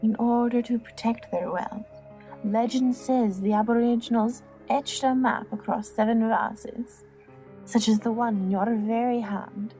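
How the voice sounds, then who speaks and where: A woman playing an alluring, worldly courtesan who tells tales in a soft, measured, theatrical way for an audiobook drama. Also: fairly high pitch, steady tone, soft background music, natural voice, low-quality recording. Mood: hushed storytelling. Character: alluring young female courtesan telling legends, worldly and teasing.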